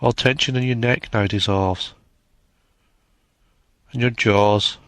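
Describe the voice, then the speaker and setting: A man narrating a guided meditation in a soothing, measured manner, leaving long pauses between short phrases. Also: lowish voice, fairly even intonation, a bit bright, dry recording.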